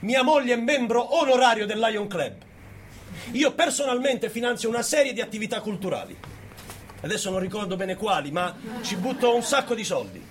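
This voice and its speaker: A pompous, conservative businessman, acted by a man lectures in a satirical stage monologue. His voice sits a little high and swings widely in pitch, sententious and self-righteous. Some noise sits behind it. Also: fairly bright tone. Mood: defensive, smug.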